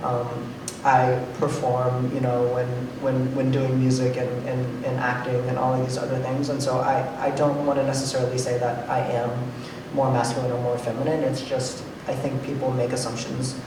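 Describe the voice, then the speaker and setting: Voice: mid-range voice, monotone, moderate pace. A nonbinary panelist with a masculine-sounding voice, talking casually in a hesitant way, with many ums and restarts. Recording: roomy, distant mic with noise.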